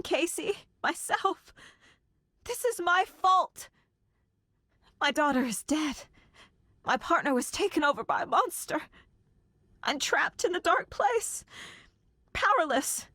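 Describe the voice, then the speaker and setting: An actress playing a determined, sharp and guarded detective and mother in a video game, with naturalistic delivery. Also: sits high, no room sound, studio quality, full character voice. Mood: despairing.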